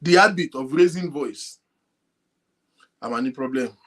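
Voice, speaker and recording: Soft-spoken husky man hosting. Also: mid-range voice, measured pace. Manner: speaks softly, animated, addresses viewers directly. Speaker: online show host. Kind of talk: casual talk to a live audience. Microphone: phone linked to a computer.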